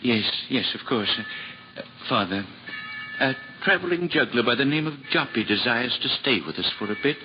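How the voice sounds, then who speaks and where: A man's voice: medium pitch, animated, moderate pace, over music, lightly acted. A man in a 1950s radio drama playing a kindly, flustered priest who is also the monastery cook, hesitating over his words. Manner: flustered, hesitant, with many uhs. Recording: lo-fi old radio broadcast, faint hiss.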